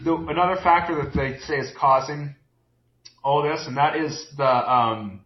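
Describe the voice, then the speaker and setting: A man's voice: on the high side, measured pace. Man in a roomy lo-fi recording. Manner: thinking aloud, many restarts. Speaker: podcast co-host. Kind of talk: casual discussion. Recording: roomy, dull lo-fi sound.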